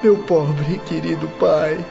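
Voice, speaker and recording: A man's voice: fairly high pitch, takes it slowly, vocal fry, full character voice. A man playing an anguished, bitter young orphan in prison, tearful and declamatory, in an audio drama. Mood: tearful grief. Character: anguished imprisoned orphan son, bitter, grieving, self-pitying young man. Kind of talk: audiobook radio drama acting. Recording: dull muffled audio, music underneath.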